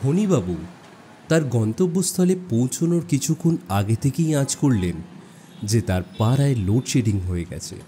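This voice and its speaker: A man narrating a suspense story as an audiobook, expressive and dramatic, with deliberate pauses. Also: mid-range voice, a little hiss or hum.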